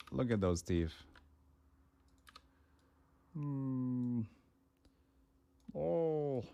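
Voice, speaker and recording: A man from Norway giving playful, theatrical, joking commentary as he streams a game. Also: fairly low pitch.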